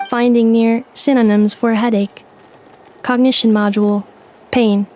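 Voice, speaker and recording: A girl robot with missing memories, played by a woman, reading out short status reports in a slow, clipped, mechanical way. Her voice is fairly high but soft and mellow. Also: level tone, natural voice.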